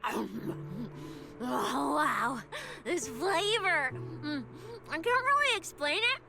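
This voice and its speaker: Bubbly young female police specialist for a game: very high, lively pitch, playful, expressive. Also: extremely slow, vocal fry, exaggerated voice. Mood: delighted, teasing. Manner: playful, expressive, mock-official. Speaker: video game voice actor. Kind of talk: animated game cutscene dialogue. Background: background music.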